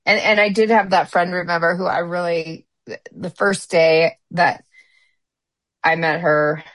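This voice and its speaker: A woman's voice: on the low side, steady tone, measured pace, fairly bright tone, no room sound. Blunt, emphatic woman on a dry mic. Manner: blunt, emphatic, restarts mid-sentence. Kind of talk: candid conversational chat.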